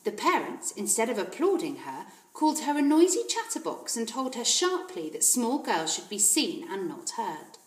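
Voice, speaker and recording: Female reader, schoolteacher type: expressive storybook narration, animated intonation, roomy sound. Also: fairly high pitch, normal speed, hardly any pauses. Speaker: teacher reading aloud. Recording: roomy echo.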